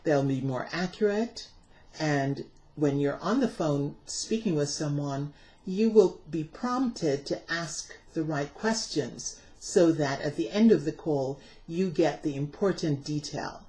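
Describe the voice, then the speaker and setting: A woman's voice: deep voice, slow, mellow, rough recording. A middle-aged woman teacher narrating a scripted lesson, enunciating carefully and stressing key words. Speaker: English teacher and presenter.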